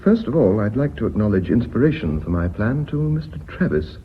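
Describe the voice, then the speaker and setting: An actor playing an earnest, loyal company secretary in a radio drama, polite, precise and persuasive. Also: male voice, on the low side, big pitch swings, a little fast. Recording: muffled, hissy old radio recording.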